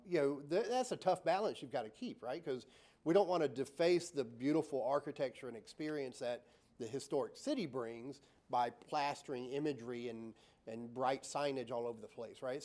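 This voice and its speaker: Brief: male designer on a panel, mid-pitched, chatty explanations, frequent fillers and tag questions. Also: darkish tone. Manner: many fillers and restarts, tag "right?"; explains with acted-out examples.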